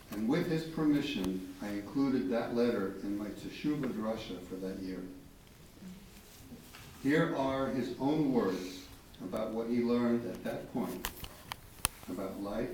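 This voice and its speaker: A man reads a prepared eulogy slowly, with solemn, deliberate emphasis. His voice sits at a middling pitch and carries through a very echoey space, caught by a distant microphone. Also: a little mellow. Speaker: eulogy reader. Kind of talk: solemn read-aloud eulogy. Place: large reverberant room at a memorial gathering. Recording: echoey, distant, faint background noise.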